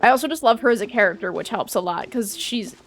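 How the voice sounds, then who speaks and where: A woman streamer talks casually over her gameplay in a slightly high voice. She rambles and restarts her sentences as she explains her play. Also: fast. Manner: chatty, rambling, with fillers and restarts.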